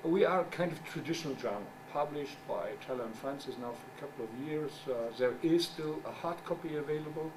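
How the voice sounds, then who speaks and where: A middle-aged man whose first language is German gives a conference talk as a journal editor. He speaks English haltingly, searches for words, and makes wry asides. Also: on the high side. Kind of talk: conference presentation. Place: conference room. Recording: distant mic with background noise.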